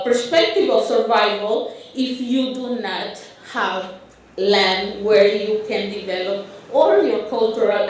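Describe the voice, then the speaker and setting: Honduran spanish accent woman giving a slow talk, lots of uh and restarts, very echoey hall. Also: fairly high pitch. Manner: halting, frequent restarts and fillers. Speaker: conference speaker. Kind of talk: conference talk with slides. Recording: roomy hall, speaker far from mic.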